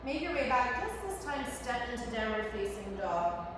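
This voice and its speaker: Slightly hoarse woman leading yoga, a touch high, calm and unhurried, distant from the mic. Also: background noise. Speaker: yoga teacher. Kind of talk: guided yoga class instruction. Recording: echoey hall from afar. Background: loud background music.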